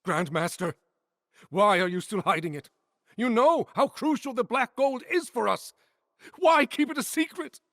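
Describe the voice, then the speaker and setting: An actor playing a pleading, reasonable sect member in a video game, earnest and imploring. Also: male voice, very high voice, expressive, normal speed, fairly bright tone, no echo, clean studio sound, exaggerated voice. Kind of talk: dramatic video game acting.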